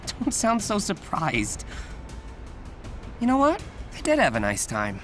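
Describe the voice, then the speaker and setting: Boyish, animated young American superhero. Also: male voice, a little high. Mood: affectionate. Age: young adult. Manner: chatty, self-deprecating, boyish. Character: earnest, wisecracking, nervy young male superhero. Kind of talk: video game voice acting.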